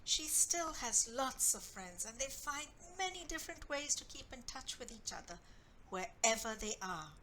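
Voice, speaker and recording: A woman author reading her own story expressively, with deliberate, dramatic phrasing. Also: fairly high pitch, animated, bright, forceful tone, a little hiss or hum, low-quality recording.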